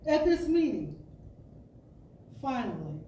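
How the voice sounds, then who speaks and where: A woman with a high voice reads an inspirational spiritual talk as a storyteller would. She takes her time, phrasing deliberately and pausing for emphasis. The sound is echoey, as in a large indoor space. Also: very animated, warm tone. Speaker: storyteller and speaker at a spiritual center. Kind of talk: expressive reading of an inspirational talk. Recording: distant roomy pickup with background noise.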